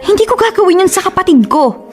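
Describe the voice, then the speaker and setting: Young radio drama girl, high voice all over the place, stubborn and scolding, bit of music behind. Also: animated, fast, a little mellow. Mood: pleading. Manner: emphatic, scolding. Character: young woman torn by guilt, exasperated, stubborn, loyal to her sister. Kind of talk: Filipino radio drama acting.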